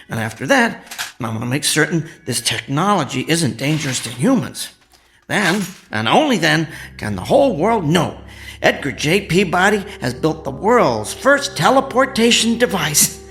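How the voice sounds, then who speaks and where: Casting: middle-aged tinkering husband, meek and fussy, wildly swooping delivery, comic radio drama over music. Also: male voice, fairly high pitch, a bit bright. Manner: fussy, muttering to himself, stammering and meek when addressed. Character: henpecked, excitable amateur inventor husband, middle-aged.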